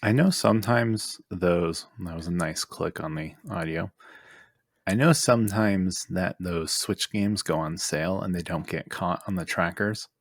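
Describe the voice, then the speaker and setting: A man's voice: sits low, a little slow, no room sound, studio quality. A man chatting casually as a podcast panelist, making plain, brief statements. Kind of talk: casual chat.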